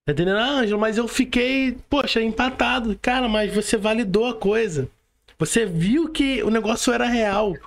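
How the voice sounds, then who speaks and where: A man's voice: extremely high pitch, normal speed. A man with a Brazilian accent hosting a casual podcast, emphatic and encouraging, often checking that the listener follows. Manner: emphatic, encouraging, slangy, frequent rhetorical check-ins. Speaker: podcast host.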